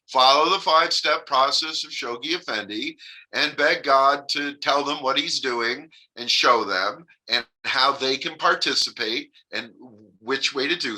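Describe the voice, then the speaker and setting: Earnest man, bright edgy voice, rambling spiritual address full of fillers. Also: mid-range voice, low-quality recording. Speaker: religious leader giving a message.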